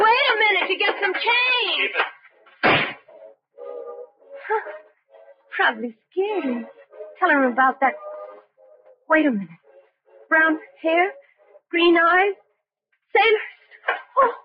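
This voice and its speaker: A woman's voice: very high-pitched, expressive, slow, pauses often, full character voice. A woman playing a talkative, folksy young diner waitress in vintage radio drama style. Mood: flustered. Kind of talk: 1940s radio acting. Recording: dull old radio recording with music.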